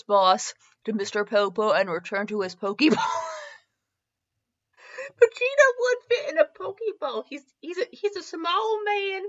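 A woman's voice: on the high side, fairly bright tone. A woman giving a comedic dramatic reading of fan fiction as a podcast host, giggly and tripping over words. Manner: giggly, stumbles over words and breaks off to comment. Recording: slightly dull, lo-fi recording.

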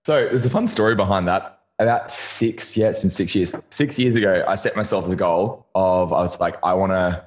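An Australian man of thirty, a podcast guest speaking casually and earnestly, his voice a little croaky after singing the night before. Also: deep voice, darkish tone. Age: 30s. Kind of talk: casual interview chat.

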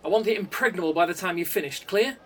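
A man's voice: sits high, fast, a little hiss or hum, close to an everyday voice. A man voicing a cold male crime boss who gives clipped, authoritative orders, recorded a bit distant from the mic. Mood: commanding.

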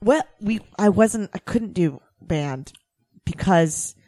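Young woman rambling on a podcast, lots of likes, laughs a lot, mid-pitched voice. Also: expressive, takes it slowly, mellow, dry recording, clean studio sound. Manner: rambling, full of restarts and "like", laughs often. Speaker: comedian guest. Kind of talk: casual podcast chat.

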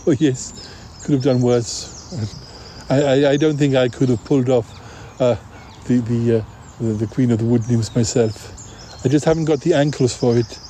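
A man's voice: medium pitch, unhurried, few pauses, warm tone, a little hiss or hum. A man improvising comic audio-drama dialogue in a hesitant, dithering way, often repeating words.

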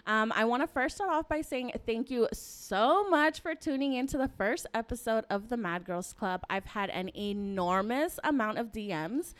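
Young woman podcast host, smooth raspy voice. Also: animated, normal speed, hardly any pauses. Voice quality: raspy, low voice. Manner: laughs a lot, says y'all and um. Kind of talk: casual chat. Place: studio set.

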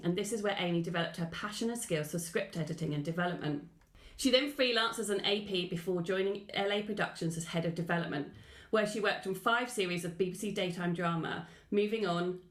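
A woman's voice: fairly low pitch, echoey room. A woman hosting an interview podcast. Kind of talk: scripted podcast introduction, then conversational interview.

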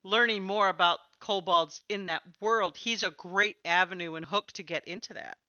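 A woman's voice: medium pitch, moderate pace, pushed and bright, no room sound, muffled recording. A woman hosting a casual, chatty podcast about tabletop gaming, with a playful way of talking. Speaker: podcast host and interviewer.

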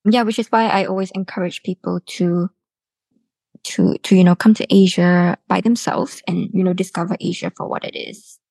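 A woman's voice: lowish voice, steady tone, moderate pace, vocal fry, a little mellow, slightly rough, no room sound. A woman from Singapore speaking casually as a guest in an interview conversation. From Singapore, born in Hong Kong. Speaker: podcast guest, art collector and publisher.

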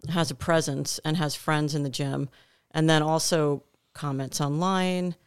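Gravelly-voiced woman telling a story. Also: low-pitched, steady tone, a little slow, no echo, studio quality. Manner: chatty, storytelling. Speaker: gym staff member. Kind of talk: casual podcast chat.

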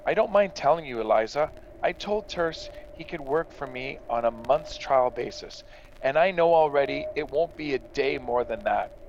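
A man's voice: mid-range voice, expressive, normal speed, a little hiss or hum, natural voice. Man playing a self-assured farmer son, slightly muffled. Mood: wary. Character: confident farmer son, reassuring, dismissive of worries. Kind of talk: radio drama acting.

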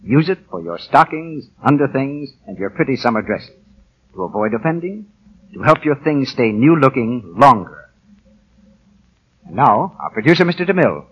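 A man's voice: medium pitch, sing-song, moderate pace, husky, dull audio. A man announcing a 1940s radio show, reading scripted sponsor copy in a period broadcast style.

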